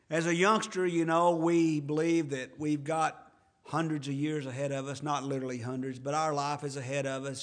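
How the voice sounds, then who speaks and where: A man's voice: a little high, fairly bright tone. A man in his fifties preaching a sermon in an earnest, conversational way with folksy asides. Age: 50s. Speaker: pastor.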